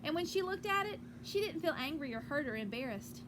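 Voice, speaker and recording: A woman teacher reading a picture book aloud to children outdoors on a porch, with gentle, encouraging asides. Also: high-pitched, animated, a little fast. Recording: clearly noisy outdoor ambience.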